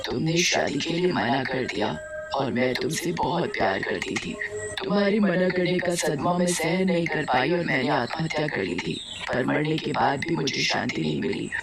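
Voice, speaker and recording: A woman voicing a lovelorn witch ghost in a cartoon story, soft, earnest and sorrowful. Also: low-pitched, recorded from a distance. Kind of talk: melodramatic cartoon story acting. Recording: loud music behind the voice.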